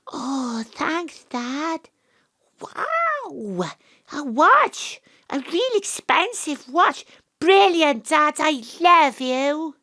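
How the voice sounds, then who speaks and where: A spirited Welsh woman in an audio play, with a high, bright voice that leaps about in pitch. She is emphatic and exclamatory, plain-spoken and expressive. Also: very slow, heavily acted. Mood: childlike excitement. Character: spirited, plain-spoken woman revisiting her childhood, also as child. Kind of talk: audio drama acting.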